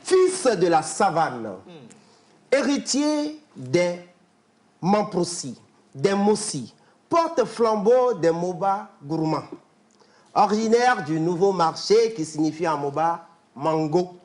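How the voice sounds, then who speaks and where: Un homme à l'accent togolais qui présente l'invité sur un ton joueur, en scandant des listes et en chantonnant. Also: male voice, high-pitched, big pitch swings, slow pace. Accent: Togolese French. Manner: playful, rhythmic, chanting lists. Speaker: show regular presenting the guest. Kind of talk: lively praise-style guest presentation.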